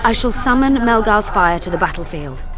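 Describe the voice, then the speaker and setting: A woman's voice: medium pitch, lively intonation, normal speed, music in the background, natural voice. Woman acting a proud, defiant sorceress. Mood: cool resolve. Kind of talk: dramatic video game acting.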